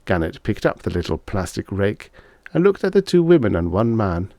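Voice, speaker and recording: Man, deep voice, audiobook narration, expressive storytelling, widely moving intonation, dry close sound. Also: moderate pace, no room sound.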